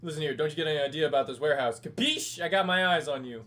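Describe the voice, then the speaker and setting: A man's voice: a little high, racing, a bit bright. A man casually commentating on a game livestream, joking and talking back to the characters. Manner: jokey, talks back to the game. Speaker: game streamer.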